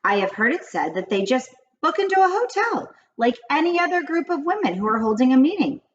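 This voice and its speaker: A woman voicing an old grandmother in a children's storybook, confiding grave witch lore in a deliberate, dramatic way. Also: sits high, very animated, muffled recording, voice pushed up. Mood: ominous. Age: older. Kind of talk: children's storybook read-aloud.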